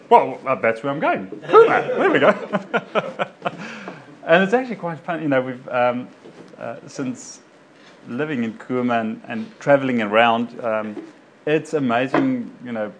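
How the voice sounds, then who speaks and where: A man gives a loose, chatty talk to a community group at a mid-range pitch. He wanders through his points, leaning on fillers and restarting sentences. There is a faint hum of room ambience behind him. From South Africa. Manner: rambling, many fillers and self-corrections. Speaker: doctor speaking to a local community group. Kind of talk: informal personal talk to an audience.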